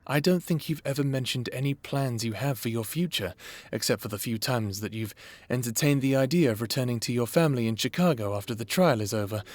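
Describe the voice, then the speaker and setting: Man playing a gentle, measured therapist. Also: medium pitch, no echo, close to an everyday voice. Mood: earnest, encouraging. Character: calm, caring male therapist counselling a patient. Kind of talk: audio roleplay acting.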